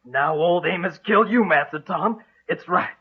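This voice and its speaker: An actor playing a grieving, vengeful servant on a bayou, with an American Southern accent and plaintive folksy dialect, in 1940s radio drama style. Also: male voice, sits high, measured pace, husky, full character voice. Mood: grimly vengeful. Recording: dull, lo-fi old radio recording.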